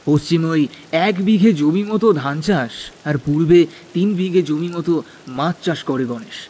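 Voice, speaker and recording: A man's voice: fairly high pitch, moderate pace, darkish tone, slightly rough. A man narrating a scripted horror cartoon story.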